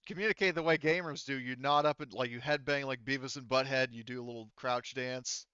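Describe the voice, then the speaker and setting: A man running a tabletop roleplaying game as game master, explaining things in a halting, rambling way. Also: mid-range voice, steady tone, bright, forceful tone, smooth, no echo, dull audio.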